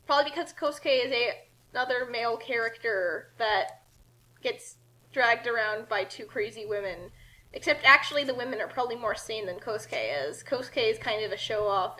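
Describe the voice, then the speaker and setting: A woman reading a story aloud in a theatrical, animated way and chatting casually about it as commentator. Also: a little high, rough recording. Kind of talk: dramatic fan fiction reading with casual commentary.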